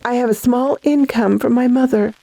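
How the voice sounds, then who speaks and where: A woman's voice: on the high side, fairly even intonation, normal speed, vocal fry, dark tone, no echo. An actress playing a frightened, bewildered, fragile lady in a radio melodrama, hesitant and breathless. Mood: hesitant confiding. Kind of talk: classic radio drama acting.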